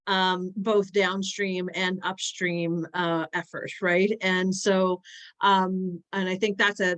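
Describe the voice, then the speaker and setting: A woman speaking as a panelist in an online discussion, earnest and halting, with frequent fillers and restarts. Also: medium pitch, a bit bright.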